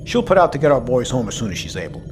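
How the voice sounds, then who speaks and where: Actor doing weary navy sub captain, mid-pitched man, blunt and measured, music behind. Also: big pitch swings, lightly acted. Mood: grave. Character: grave, weary male submarine commander. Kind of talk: audio drama acting.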